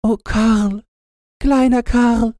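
Storybook part: a scared, gentle little girl, timid and high, as a male reader voices her. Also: sing-song, a little slow, no echo, clean studio sound, exaggerated voice. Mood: sighing. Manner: timid, pleading.

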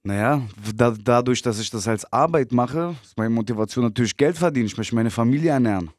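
A man in his twenties from Berlin, a rapper guest giving relaxed, short answers with easy laughs. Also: medium pitch, fast, no echo, clean studio sound. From Berlin, Germany. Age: 20s. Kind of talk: casual interview chat.

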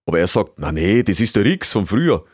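A man voicing a gruff, bossy beaver scientist with a Bavarian accent, blustering and scolding in dialect, in a storybook reading. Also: lowish voice, very animated, a little fast, darkish tone, dry recording, studio quality. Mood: musing, chatty. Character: gruff, bossy, eccentric old beaver scientist, easily exasperated.

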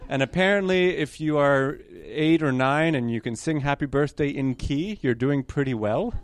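A man's voice: on the high side, moderate pace, some chatter behind. A young man from New York telling his story in a chatty interview, often correcting himself and adding apologetic asides. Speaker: guest conductor candidate.

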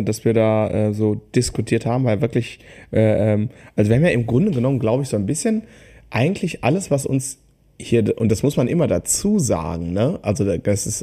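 Guy on a podcast, lowish soft dark voice, rambly, thinks out loud. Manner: rambling, halting, thinking aloud. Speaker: podcast co-host, musician. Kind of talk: casual podcast chat.

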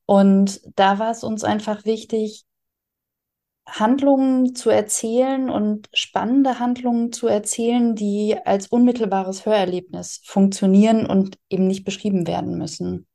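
A woman's voice: mid-range voice, steady tone, measured pace, gravelly, warm tone. A woman explaining things conversationally as an interview guest and author.

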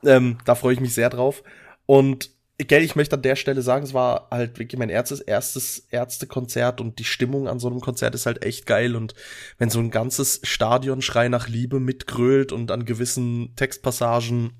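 A man's voice: medium pitch, level tone, normal speed. A man chatting casually as a podcast host, with a mild cold, recorded on a close microphone. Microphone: close USB or headset microphone.

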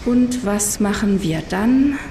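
A woman's voice: mid-range voice, expressive, slow pace, dark tone. A woman playing a sceptical, wry and hesitant travel companion in a sci-fi audio drama. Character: sceptical, wry woman friend reluctantly joining an adventure. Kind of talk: German science-fiction audio drama. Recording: roomy, distant mic, background noise.

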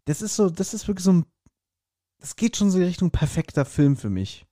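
Mellow-voiced man chatting casually, dry close sound. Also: sits high, sing-song, a little fast, no echo. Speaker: podcast co-host. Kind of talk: casual chat.

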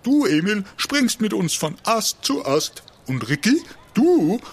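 An easygoing gibbon dude, with lively, bouncing intonation. He is jovial and welcoming, chatting casually like an old buddy in a children's radio play. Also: high voice, takes it slowly, breathy edge, exaggerated voice. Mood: upbeat. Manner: casual, chuckling, relaxed phrasing. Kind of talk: children's audio drama acting.

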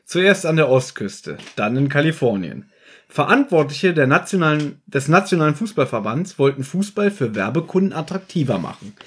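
A man's voice: fairly high pitch, breathy edge. A man hosting a podcast, chatting casually as he recaps an audio drama. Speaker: podcast host.

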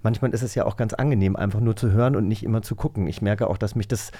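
A man's voice: on the low side, steady tone, fast talker, dark tone. A man chatting informally and enthusiastically as co-host of a podcast. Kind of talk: casual podcast chat.

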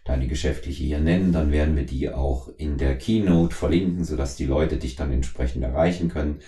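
A middle-aged man from East Germany hosting a conversational podcast interview, speaking in wordy, halting phrases. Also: rumbling low, mellow. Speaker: podcast host. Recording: roomy, echoing voice recording.

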